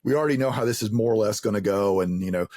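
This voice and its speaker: A man speaks in a lowish, slightly rough voice with a very dry sound. His delivery is casual and conversational, circling and restarting as he explains, with occasional swearing. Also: rapid-fire, a little mellow, no room sound.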